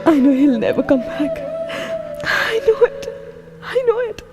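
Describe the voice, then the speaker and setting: A woman's voice: high voice, very animated, unhurried. An actress playing an emotional yet stubborn young jilted woman in an audio play, earnest and dramatic. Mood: distraught, tearful. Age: young adult. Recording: music underneath. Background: music.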